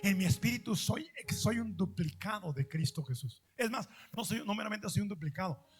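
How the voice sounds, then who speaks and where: High-pitched guy preaching, repeats stuff, asks the crowd questions, goes loud then slow and quiet, soft music under it. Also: lively intonation. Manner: emphatic, repeats phrases, asks the audience questions; swings between loud stressed lines and slow drawn-out quiet words. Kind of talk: sermon. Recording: faint music behind the voice.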